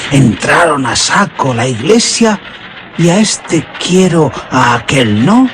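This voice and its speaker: A man playing a rustic herdsman who tells an old legend in a mysterious, suspenseful radio drama manner. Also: medium pitch. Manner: mysterious, drawn-out, suspenseful storytelling.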